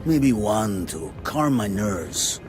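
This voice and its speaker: A prickly working man, a tipsy porter in a mystery game. His low voice is gruff and indignant, always on the defensive. Music plays clearly underneath. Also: sing-song, takes it slowly. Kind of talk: scripted game dialogue.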